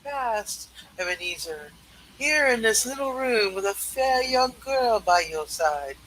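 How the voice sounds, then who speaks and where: A performer voicing a solemn, probing spirit of Christmas past in a measured, portentous amateur play reading. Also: mid-range voice, animated, fairly bright tone, a little hiss or hum, poor audio.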